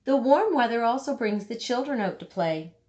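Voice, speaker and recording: A woman reading a children's picture book aloud, with dramatic emphasis and deliberate pauses for young listeners. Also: fairly high pitch, lively intonation, a little mellow. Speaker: storybook reader.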